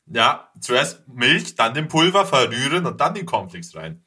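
A man with a bright, forceful voice at a middling pitch talks as a podcast guest. His style is casual and blunt, full of joking slang. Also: very animated, measured pace, breathy edge. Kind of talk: casual chat.

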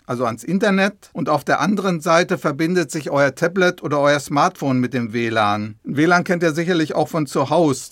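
A man's voice: a little high, fairly bright tone, dry recording, studio quality. A man explaining things patiently and plainly to young listeners as an expert guest in an interview. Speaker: government official, expert guest.

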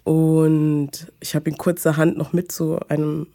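A woman, talking hesitantly about her personal life with many pauses and fillers. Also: low voice, level tone, warm tone. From Munich, Germany. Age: 20s. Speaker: guest. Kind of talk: personal conversation.

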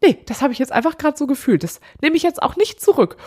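A woman's voice: high voice, sing-song, quick pace, a little mellow. A woman hosting a solo podcast, talking candidly and chattily with a slightly nasal voice from a blocked nose. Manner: chatty, candid, laughs often. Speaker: podcast host. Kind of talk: casual solo podcast chat.

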